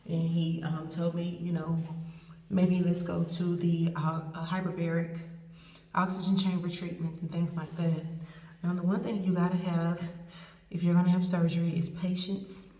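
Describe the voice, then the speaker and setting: Woman, East Texas accent, post-surgery and sore; blunt, rambling diary talk, mellow tone, far from the mic in a roomy space. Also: fairly low pitch, flat delivery, normal speed. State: swollen and sore after surgery. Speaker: vlogger recovering from surgery. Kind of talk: casual unedited video diary. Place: indoor room with a door. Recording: echoey room, voice from far off.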